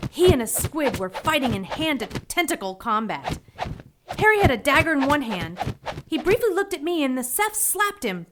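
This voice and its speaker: A woman's voice: high-pitched, a bit bright. A woman playing a plucky, sassy 1940s heroine who narrates her adventure in an audio drama with brash, wry slang.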